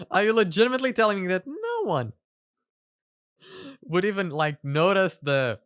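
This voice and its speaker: A man hosting a reaction video, commenting casually in a halting way and repeating words for emphasis. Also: sits high, big pitch swings, fairly bright tone, smooth, dry recording, clean studio sound.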